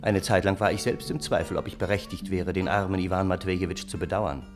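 An actor playing an earnest, indignant gentleman of old Russia, narrating a radio play in a literary, aggrieved way. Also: low voice, a little fast, over music, lightly acted. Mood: earnest, troubled.

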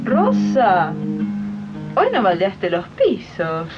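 A woman's voice: lowish voice, wildly swooping pitch, drawn-out words, over loud music, heavily acted. A woman playing a haughty, suspicious lady of the house in a 1980s community radio drama, condescending and nagging, with an Argentine accent. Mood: disapproving. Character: haughty, suspicious female employer of a maid. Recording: muffled 1980s archive radio recording.

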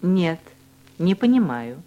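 Slow, carefully articulating woman reading drills. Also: fairly low pitch, very animated, warm tone, muffled recording. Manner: clear, deliberate articulation of each word. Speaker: language course reader.

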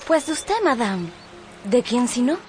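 An actress playing a frank, knowing maidservant in a radio drama, speaking in an eager, insinuating way. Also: female voice, a little high, big pitch swings, a little slow, music in the background. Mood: knowing, teasing.

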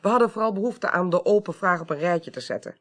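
A woman playing a sharp, determined investigator in a Dutch radio drama, speaking deliberately and insistently. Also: fairly low pitch, animated. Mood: matter-of-fact. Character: sharp, determined investigator, convinced of foul play.

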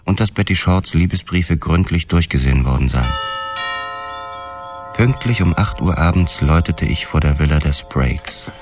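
An actor playing a world-weary police detective who narrates his own story in a measured, deadpan way for a radio drama. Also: very deep voice, faint music behind. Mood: detached, weary.

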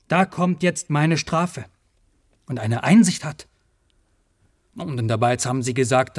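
Self-justifying working-class applicant, slightly high male voice, halting colloquial delivery, dry studio radio play. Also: no room sound. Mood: grimly fervent. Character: eager, self-justifying working-class man applying to be executioner. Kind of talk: radio play monologue and dialogue.

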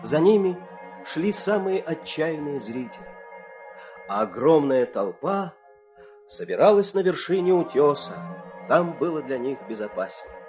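A man's voice: fairly high pitch, sing-song, a little slow, music in the background. A man narrating a fairy tale for an audio play in a theatrical, suspenseful storytelling manner.

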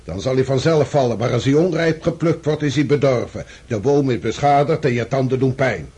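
A man plays an old Russian supreme commander in a radio drama. His voice is heavily husky and breathy. He speaks in deliberate, patient maxims, like a weary man admonishing his juniors. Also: mid-range voice, dark tone, natural voice.

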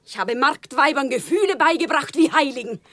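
A woman's voice: high voice, lively intonation, a little fast, fairly bright tone, no room sound. An actress playing an impassioned, naive commoner woman who pleads solemnly and fervently in a German radio play. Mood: fervent, accusing. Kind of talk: German radio play, theatrical stage diction.

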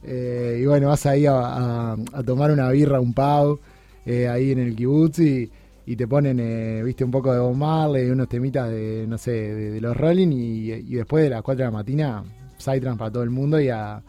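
Hombre con acento uruguayo, charla entrecortada llena de muletillas, voz grave oscura en una radio. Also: male voice, mid-range voice, fairly even intonation, mellow, faint music behind. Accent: Uruguayan Spanish. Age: 30s. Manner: halting, many restarts and fillers. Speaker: guest. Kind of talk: casual interview chat. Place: radio studio.

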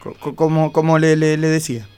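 A man's voice: on the high side, fairly even intonation, brisk, darkish tone, smooth, natural voice. An actor playing an insecure, lovelorn man in a comic radio play, with a heavy nervous stutter and an Argentine accent. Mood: defensive, flustered. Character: insecure, lovelorn, short homely man, a detective's client.

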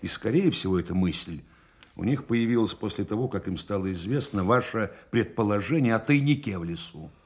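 An actor in a radio play voicing a calm, probing senior general who speaks in a deliberate, official manner. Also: male voice, fairly low pitch, breathy edge. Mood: calming, measured. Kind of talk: Soviet-style radio play acting.

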